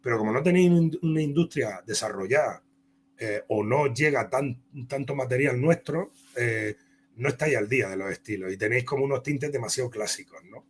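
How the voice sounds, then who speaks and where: A man's voice: mid-range voice, fairly quick. A man from Spain with a Castilian Spanish accent, telling career anecdotes as an interview guest in a rambling, conversational way. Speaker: comic book author and interview guest.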